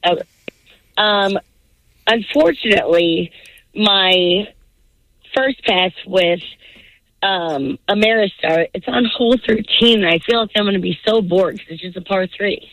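A woman's voice: mid-range voice, unhurried, pauses often, fairly bright tone, no room sound. A woman with a naturally scratchy voice, calling in by phone from her car. She talks in a brash, playful, rambling way. The sound is close and dry. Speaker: caller. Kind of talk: casual phone-in chat.

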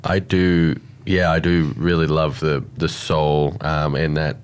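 An Australian man hosting a radio show, chatting in a relaxed, storytelling way with plenty of fillers. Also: a bass voice, slow, smooth. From Alice Springs, Australia. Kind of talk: casual radio chat.

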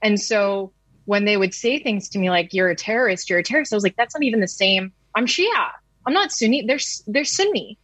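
A woman's voice: medium pitch, expressive, brisk, a bit bright, breathy edge, dry recording. A woman in her thirties from the United States, a news reporter speaking candidly and conversationally. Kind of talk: interview recollection.

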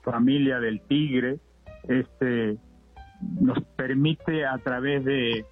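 An older man from Argentina talks in a reflective, measured way, often pausing and restarting his sentences. He is a puppeteer being interviewed on the radio. His voice comes through a thin, tinny phone line with faint music underneath. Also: mid-range voice, fairly even intonation. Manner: reflective, measured, with many hesitations. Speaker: guest, puppeteer.

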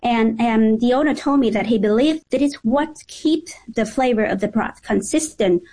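A female journalist with a Vietnamese accent and a fairly high voice. She gives an informal, descriptive account as a guest in a radio interview. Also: normal speed, dark tone, poor audio.

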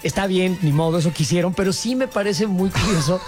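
A man's voice: sits high, fairly quick, darkish tone, faint music behind. A man co-hosting a radio show, joking and irreverent, cursing casually. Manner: joking, irreverent, swears freely. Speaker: radio host. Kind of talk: casual comedic radio banter.

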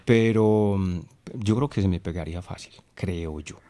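A man's voice: sits low, measured pace, warm tone. A Colombian man hosting a radio call-in show, chatting casually and joking with callers. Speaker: radio host.

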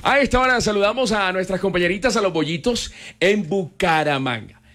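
A man's voice: sits high, fairly quick, a bit bright. Playful Colombian man radio host. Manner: joking, playful. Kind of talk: casual radio chat.

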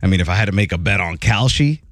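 A man hosting a morning radio show, telling entertainment news in a jokey, animated way. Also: fast, no echo, studio quality. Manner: animated, jokey, voice jumps high for emphasis.

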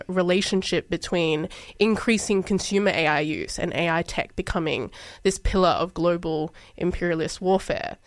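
A woman's voice: medium pitch, dry recording. A woman co-hosting a podcast, asking a long, winding interview question. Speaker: podcast co-host.

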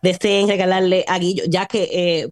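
A woman's voice: lowish voice, fairly even intonation, normal speed, a little mellow, no room sound. Hesitant woman in her thirties giving tax advice. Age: 30s. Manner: hesitant, many fillers, mixes in English words. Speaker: tax service guest on radio. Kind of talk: informational radio chat. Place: radio studio.

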